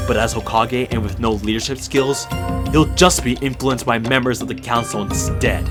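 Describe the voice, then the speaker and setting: A man acting a proud, guarded, bitter clan warrior in an anime-style audio drama, speaking in a grave, measured, formal way. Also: medium pitch, natural voice. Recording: dramatic music behind the voice.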